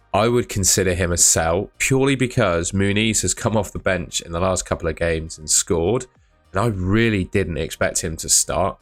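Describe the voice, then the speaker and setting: A man, hosting a fantasy football channel, talking casually to camera, his voice croaky from shouting, chatty and thinking aloud with asides. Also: low-pitched.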